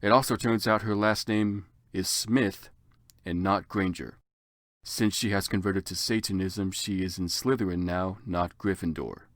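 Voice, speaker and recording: A man's voice: low voice, steady tone, measured pace, no echo, studio quality. A man narrating fan fiction as a comic dramatic reading, in a theatrical, exaggerated manner.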